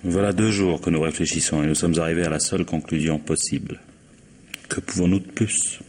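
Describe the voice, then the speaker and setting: Actor as a deep-voiced, composed gentleman employer. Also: fairly even intonation, a little fast, slight background noise, close to an everyday voice. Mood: calm, insistent. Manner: polite, reasoning, wry. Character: composed, resigned male employer under suspicion. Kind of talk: 1950s French radio mystery acting.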